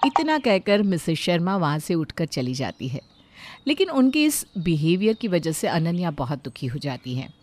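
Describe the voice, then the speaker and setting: A woman narrating a moral family story in a steady storytelling manner. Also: lowish voice, normal speed, faint music behind.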